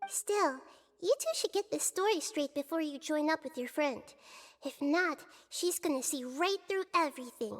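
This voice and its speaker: A woman voicing a small, wise and kindly child goddess in a video game, with a soft childlike voice and measured, gentle manner. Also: sits high, lively intonation. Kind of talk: animated video game voice acting. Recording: game music playing behind.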